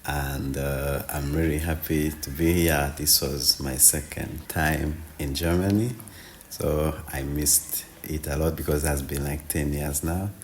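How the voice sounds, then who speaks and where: A man's voice: rumbling low, steady tone, unhurried, a little hiss or hum. A man in his late twenties from Rwanda, answering interview questions hesitantly, with many fillers. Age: 20s. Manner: hesitant, lots of 'like' fillers. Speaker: exchange participant.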